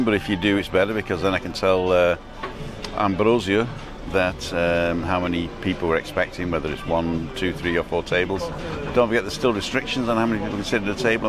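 Low-voiced middle-aged man chatting informally. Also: fairly even intonation, fairly quick. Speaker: vlogger. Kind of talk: casual vlog chat to camera. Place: outdoors at a bus stop and a bar terrace.

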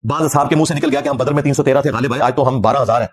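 A man in his forties with a Pakistani Punjabi accent and a husky voice, giving a blunt, taunting, combative religious lecture. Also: mid-range voice, racing. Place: indoor lecture set.

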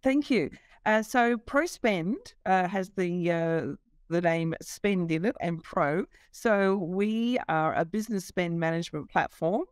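A woman's voice: fairly low pitch, a little slow, clear, resonant tone, no echo, studio quality. A middle-aged woman, a company CEO, explaining her business over a video call, fluent but with many fillers. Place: office.

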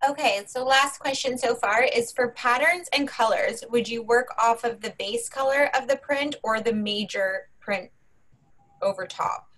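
A woman's voice: fairly high pitch, measured pace, a bit bright, low-quality recording. A woman moderating a webinar over a video call, informally relaying questions.

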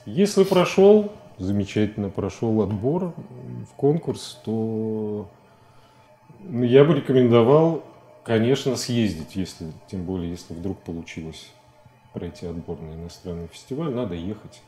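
Low, very mellow-voiced man, halting delivery. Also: lively intonation, faint music behind. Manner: halting, thinking aloud, colloquial. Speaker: interview guest, animation filmmaker. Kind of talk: casual studio interview talk. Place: TV studio, indoors.

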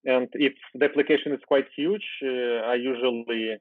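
A man's voice: mid-range voice, moderate pace, no room sound. Ukrainian man on a tinny video call. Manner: relaxed, thinking aloud with fillers and restarts. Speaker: guest software developer. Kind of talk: casual screen-share coding chat.

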